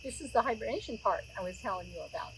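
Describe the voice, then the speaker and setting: A middle-aged woman narrating a storybook aloud for young listeners, expressive, with chatty asides. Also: mid-range voice, fast talker, slight background noise, rough recording. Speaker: children's story reader. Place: outdoors near a road, woods.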